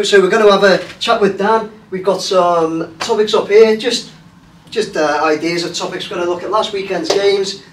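A man hosting a casual football chat show, rambling and hesitant with lots of fillers. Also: high voice. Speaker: host. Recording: roomy, distant mic, faint hiss.

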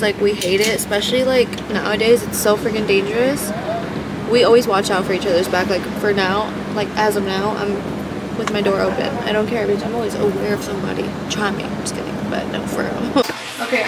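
A woman in her twenties chatting casually to camera in an animated, slangy way, swearing freely. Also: medium pitch. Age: 20s. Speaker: vlogger. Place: car, shops, parking lot, cluttered bedroom. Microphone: handheld or propped vlog camera. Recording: noisy handheld camera audio.

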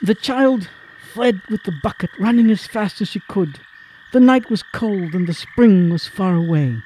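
A man's voice: high-pitched, moderate pace, warm tone, a little hiss or hum, poor audio. A man giving a theatrical, literary narration of a dramatised classic story.

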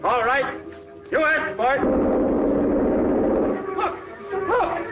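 An actor playing a loud, frightened payroll guard shouting in alarm, in 1940s radio acting. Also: male voice, very high voice, big pitch swings, extremely slow, heavily acted. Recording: dull old radio recording, music and crowd noise.

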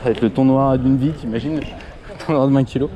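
A man's voice: mid-range voice, fairly even intonation, quick pace, muffled recording. Casual, sweary man on a noisy boat. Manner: colloquial, swearing. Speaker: angler on a fishing trip. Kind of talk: casual chat while fighting a fish. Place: outdoors on a boat at sea. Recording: windy boat noise. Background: boat, wind and water noise.

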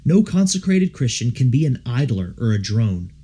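A man narrating a devotional book aloud as an audiobook reader, with measured, solemn, rhetorical phrasing. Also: medium pitch, sing-song, mellow, husky.

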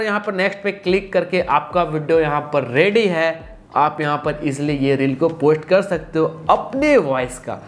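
A man's voice: fairly high pitch, a little hiss or hum. A man giving a casual, instructional phone-app tutorial, often repeating stock phrases. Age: 20s. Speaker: tutorial presenter. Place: small indoor room.

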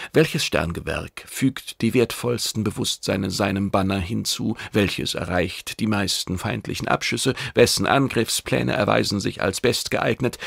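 A man's voice: on the low side, vocal fry, husky, no echo, studio quality, heavily acted. A man voicing a brash, domineering alien warship commander in a science fiction audiobook, blunt and commanding. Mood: boastful.

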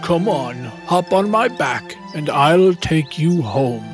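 A man's voice: fairly high pitch, animated, slow, over music. A man voicing a big proud male lion, king of the forest, in a cartoon story, speaking in a grand, earnest way.

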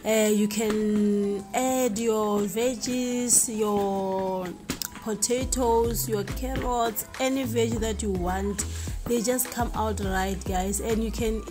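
Woman, unhurried, chatty, hesitant, home kitchen, faint music behind. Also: medium pitch, fairly even intonation. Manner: chatty, hesitant, many fillers, calls viewers guys. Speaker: vlogger cooking for her family. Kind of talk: casual cook-with-me narration.

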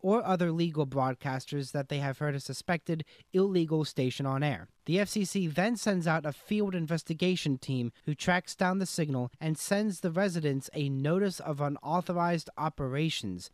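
A man's voice: fairly high pitch, a little mellow, no echo, studio quality. A man reading scripted documentary narration as an explainer.

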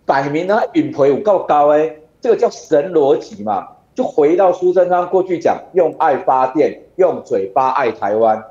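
A man's voice: a little high, lively intonation, moderate pace, hardly any pauses, mellow. A man of about forty with a Taiwanese accent, giving emphatic political commentary full of rhetorical questions. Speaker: city councillor, guest commentator. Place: indoor room on a video call. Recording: dull, roomy video-call audio.

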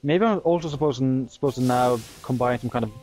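A man's voice: medium pitch, quick pace, darkish tone, faint music behind, rough recording. A man whose native language is Norwegian, commenting on a game he is playing in a hesitant, rambling way. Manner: rambling, hesitant, laughs often. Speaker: game streamer. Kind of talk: let's play commentary.

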